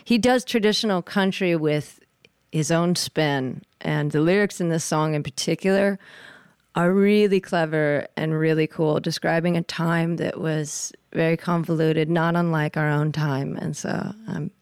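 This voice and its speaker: A woman's voice: low-pitched, fairly even intonation, unhurried, darkish tone, clean tone, no room sound, studio quality. A woman singer being interviewed, chatting casually in an earnest, thoughtful way. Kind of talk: casual chat.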